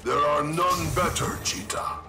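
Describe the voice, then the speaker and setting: An actor voicing a hulking, menacing, arrogant masked villain in a video game, making grandiose threats. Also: male voice, fairly high pitch, fairly even intonation. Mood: proud. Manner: slow, menacing, grandiose declarations. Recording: loud music behind, echoing sound.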